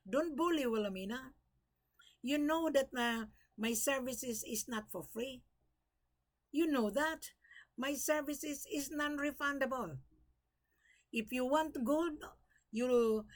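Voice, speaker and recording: An older woman from the Philippines giving emphatic, repetitive warnings and advice straight to her viewers. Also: fairly high pitch. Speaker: gold brokerage vlogger. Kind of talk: direct-to-camera advice talk.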